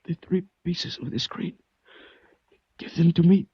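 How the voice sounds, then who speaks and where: An actor playing a courteous, philosophical Chinese man in a 1940s radio drama, speaking formally, solemnly and haltingly. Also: high-pitched, breathy edge, no echo, exaggerated voice. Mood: weak, insistent. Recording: muffled old radio recording.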